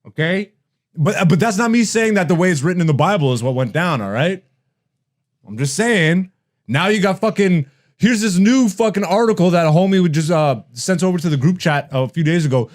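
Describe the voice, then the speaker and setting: A man with a fairly high, very animated voice talks like a comedy podcast host. He is brash and casual, swears freely and often laughs through his words as he rants. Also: brisk. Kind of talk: casual comedic rant and chat.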